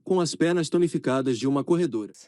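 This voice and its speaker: A Brazilian-accented man narrates a literary audiobook from a script. His voice is fairly high for a man and mellow, and he reads very rapidly. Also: lively intonation.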